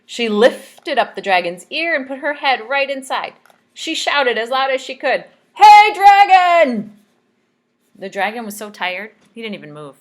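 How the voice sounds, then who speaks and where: A woman reading a story aloud to children in a teacherly, expressive way, pausing to ask questions. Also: fairly high pitch. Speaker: speech therapist reading aloud.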